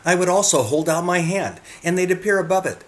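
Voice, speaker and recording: A man's voice: high-pitched, lively intonation, fairly quick. Earnest male narrator addressing the viewer. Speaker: narrator presenting own photographs. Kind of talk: scripted narration.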